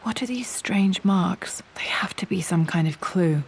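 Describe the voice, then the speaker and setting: A woman's voice: on the low side, a little slow, husky. An actress playing a curious, pensive young English adventurer, murmuring her thoughts aloud in a game. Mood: curious, puzzled. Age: young adult. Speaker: voice actor. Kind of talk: game character thinking aloud. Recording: prominent music behind the voice. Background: music.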